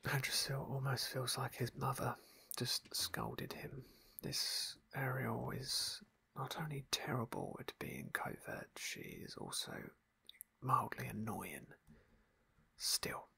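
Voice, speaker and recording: A man whispering ASMR narration of a video game playthrough in a soft, unhurried, genteel way. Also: mid-range voice, lively intonation, vocal fry, breathy edge.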